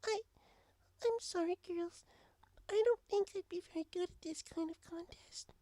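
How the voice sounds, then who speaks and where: A woman voicing young female cartoon ponies in an expressive story reading. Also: very high voice, normal speed, no room sound, clean studio sound. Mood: timid, apologetic. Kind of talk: animated character dialogue in a fan fiction reading.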